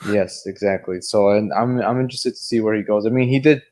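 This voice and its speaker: Man, low voice, podcast co-host, casual talk, measured, thinks aloud. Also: darkish tone. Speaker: podcast co-host reviewing movies and games. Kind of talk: casual discussion.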